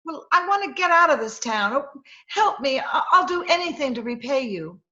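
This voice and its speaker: Woman playing a high, bright, anxious damsel. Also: big pitch swings, moderate pace, heavily acted. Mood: pleading. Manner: urgent, pleading. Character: frightened, pleading dame in trouble with gangsters. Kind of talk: old-time radio melodrama acting. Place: at home, recorded remotely. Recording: slightly dull, lo-fi remote recording.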